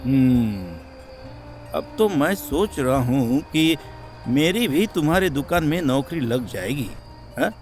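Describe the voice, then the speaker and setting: Cartoon husband, male actor: affectionate and supportive, very animated intonation, reassuring delivery. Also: mid-range voice, slow, faint music behind. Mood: encouraging, teasing. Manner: reassuring, expressive. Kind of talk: cartoon moral story voice acting.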